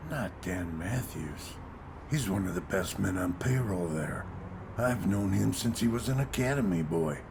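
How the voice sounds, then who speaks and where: Guy playing tired old-school police commissioner, deep gravelly gruff voice, clear background noise. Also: animated, unhurried, exaggerated voice. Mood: incredulous. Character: weary, honest veteran police commissioner. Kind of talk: comic-book audio drama acting.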